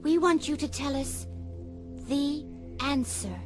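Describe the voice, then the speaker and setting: A woman's voice: sits high, slow, music in the background. A woman playing an anxious, formal attendant of a great computer in a radio comedy. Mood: awed.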